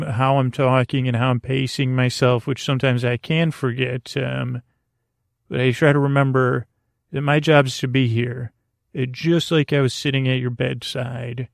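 Creaky-voiced man rambling a bedtime podcast. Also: medium pitch, no room sound, clean studio sound. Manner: meandering, hesitant, reassuring, gently goofy. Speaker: podcast host. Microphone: close to the microphone.

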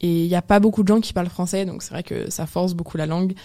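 Mellow young woman hosting a casual podcast. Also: on the low side, steady tone, quick pace. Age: young adult. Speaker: podcast host. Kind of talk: casual conversational podcast interview.

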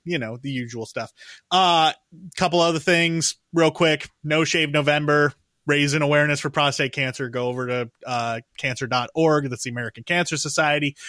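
A man's voice: fairly high pitch, sharp tone, no room sound, clean studio sound. A man co-hosting an entertainment show with informal, conversational delivery. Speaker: co-host of an entertainment news show.

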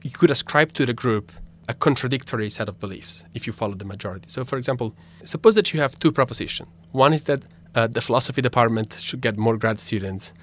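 A man's voice: mid-range voice, fast talker, few pauses, a bit bright. Italian-accented young man explaining ideas. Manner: thoughtful, many restarts and fillers. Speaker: philosophy graduate student guest. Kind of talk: explaining research in a radio interview.

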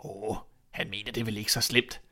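A man's voice: medium pitch, sing-song, pushed and bright, full character voice. Storybook sexton, read by a man, sure of himself, matter-of-fact, a village disciplinarian. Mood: self-assured. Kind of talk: fairy tale storytelling.

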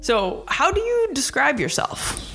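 A woman's voice: sits high, wildly swooping pitch, measured pace, gravelly, a bit bright, soft background music. A woman hosting an interview, asking questions in an enthusiastic and encouraging way. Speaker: interview host. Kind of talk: casual interview chat.

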